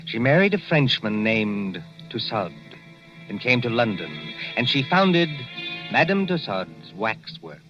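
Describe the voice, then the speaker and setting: A man narrating a 1940s radio suspense drama in a measured, dramatic way. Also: mid-range voice, sing-song. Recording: dull old 1940s radio broadcast with music.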